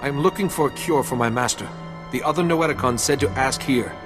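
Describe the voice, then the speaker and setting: A man's voice: mid-range voice, a little fast, big-room sound, over music, close to an everyday voice. A man voicing an earnest, determined Jedi apprentice in a video game, sincere and formal.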